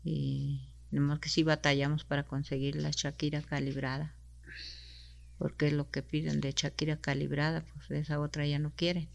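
A woman from Mexico, answering interview questions softly and hesitantly, with many fillers. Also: low-pitched, little pitch movement, moderate pace, vocal fry. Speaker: interview guest.

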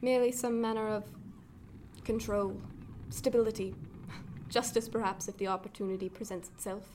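A woman playing a middle-aged, cold and imperious 18th-century gentlewoman in a radio drama, speaking formally and bitingly. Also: mid-range voice, level tone, normal speed, lightly acted. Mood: defiant, icy. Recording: clear background noise, ship ambience.

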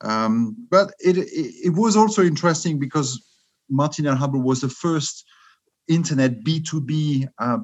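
A man's voice: a little high, animated. A man in his fifties with a French accent, a guest answering in a casual interview with lots of restarts and fillers. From France. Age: 50s. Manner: halting, many restarts and fillers. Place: his room at home.

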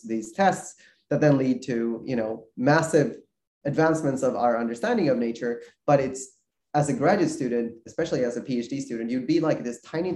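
Rambling man from Sweden, echoey room. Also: medium pitch. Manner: relaxed, rambling, many fillers and restarts. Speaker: guest researcher. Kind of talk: casual interview over video call.

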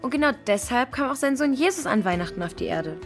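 Inquisitive, caring girl with a higher voice. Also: expressive, fast talker, over music. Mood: questioning, earnest. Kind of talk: children's audio drama acting.

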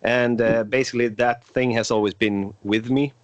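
A man's voice: mid-range voice, fairly even intonation, moderate pace, no echo. A Swedish man in his twenties, a native Swedish speaker, hosting a casual podcast chat in a hesitant way with many filler sounds. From Sweden. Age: 20s. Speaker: podcast host.

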